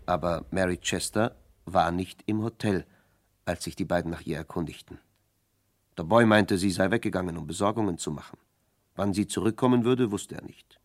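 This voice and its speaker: A man's voice: sits low, moderate pace. A man narrating a scripted German radio crime drama.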